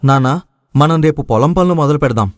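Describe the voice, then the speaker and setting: A man's voice: fairly high pitch, a little fast, dry recording, studio quality, lightly acted. A man playing an earnest young village son. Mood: reassuring. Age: young adult. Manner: earnest, respectful. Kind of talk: dramatized moral story acting.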